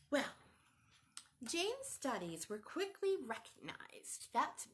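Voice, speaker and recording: A woman hosting a children's storytime, reading a picture book aloud in a sing-song teacher voice and asking the children questions. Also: fairly high pitch.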